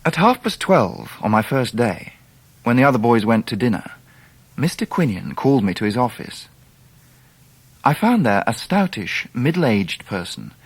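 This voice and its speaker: An actor playing a grown man recalling his boyhood, narrating a literary radio drama in a measured British voice. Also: medium pitch, breathy edge, no room sound, exaggerated voice. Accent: British English. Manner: measured, reflective.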